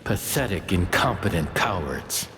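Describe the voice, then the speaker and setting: An actor playing a sardonic spirit companion in a video game, scornful and given to musing asides. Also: male voice, deep voice, expressive, a little slow, a bit bright, a little hiss or hum, heavily acted. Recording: game music behind the voice.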